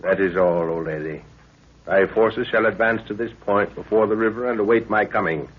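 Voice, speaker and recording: An actor playing a middle-aged commander and fond father, brisk and authoritative, in a 1930s radio drama. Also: lowish voice, husky. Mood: commanding. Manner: brisk, authoritative, clipped orders. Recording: tinny, muffled 1930s radio recording.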